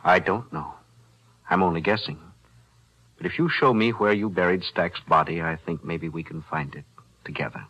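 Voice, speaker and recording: An actor playing a calm, shrewd attorney in a 1940s radio drama, speaking in a measured, deliberate way. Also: male voice, deep voice, breathy edge, muffled recording, heavily acted. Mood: persuasive.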